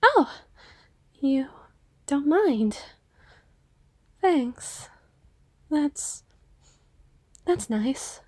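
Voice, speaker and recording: A reserved young cyclops waitress played by a female performer in a roleplay audio. Her voice is high and recorded dry, and she speaks hesitantly, rambling earnestly and modestly. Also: big pitch swings, extremely slow, long pauses, dark tone, dry recording, full character voice. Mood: reserved, sincere. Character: shy, self-effacing twenty-five-year-old cyclops waitress. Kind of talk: ASMR roleplay acting.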